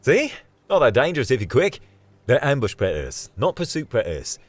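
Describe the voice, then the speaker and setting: Man acting a brash, excitable bloke, pitched up. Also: brisk, no echo. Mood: cheerful, insistent. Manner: breezy, slangy, excitable. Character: young cheerful fearless Australian man, brash and enthusiastic.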